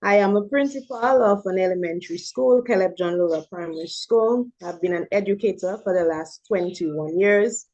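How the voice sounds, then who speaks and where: A woman's voice: mid-range voice, normal speed, hardly any pauses, a little mellow. A woman from Dominica, an elementary school principal, introduces herself in a formal way. Her voice comes through dull, lo-fi audio.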